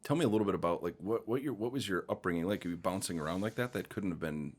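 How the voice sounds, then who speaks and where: A man's voice: low voice, fast. A man in his thirties from Minnesota, hosting a podcast interview and asking questions with hesitations. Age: 30s. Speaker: host.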